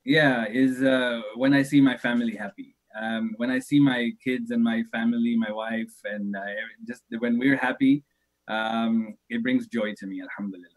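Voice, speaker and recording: A man's voice: medium pitch, steady tone, normal speed, poor audio. A man in his thirties, a religious teacher, answering interview questions thoughtfully, hesitating often and laughing easily. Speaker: shaykh, religious speaker.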